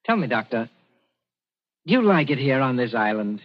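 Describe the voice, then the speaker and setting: A man's voice: medium pitch, sing-song, close to an everyday voice. Man playing young colonial official, cool and knowing, dry irony, muffled old radio show sound. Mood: confiding. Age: young adult. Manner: measured, dryly ironic, knowing. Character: shrewd, composed young British colonial official in Zanzibar. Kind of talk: 1940s radio acting. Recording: dull, muffled 1940s radio recording.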